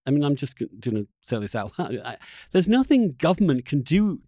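A man's voice: a little high, very animated, a little fast, dark tone, no echo, studio quality. A man hosting a reflective long-form interview, who feels his way through his thoughts and often restarts phrases mid-sentence. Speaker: podcast host interviewing a guest.

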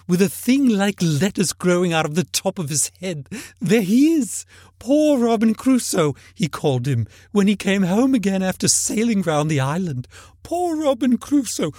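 A man voicing an emotional old man in a storybook reading, exclaiming and repeating himself in a husky, cracking voice. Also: very high voice, unhurried, few pauses, warm tone, no echo, studio quality, voice pushed up. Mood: excited glee. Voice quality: husky, cracking between laughing and crying. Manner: exclamatory, breathlessly repetitive.

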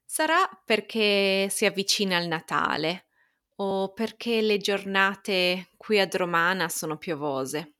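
Slow, expressive Italian woman reading aloud. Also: medium pitch. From Italy. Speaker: Italian language teacher and newsletter writer. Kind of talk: reading a personal newsletter aloud.

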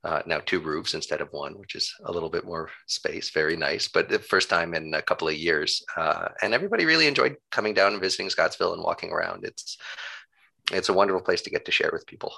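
Genial guy in his 30s, low creaky voice, bright edge, chatting in an interview. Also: fast talker. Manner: genial, good-humored. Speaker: interview guest, town clerk. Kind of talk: casual conversational interview.

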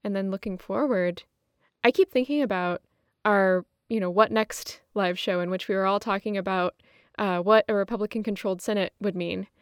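A woman's voice: medium pitch, moderate pace, clean tone, dry recording, clean studio sound. A woman co-hosting a podcast in a casual, conversational style. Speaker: podcast host.

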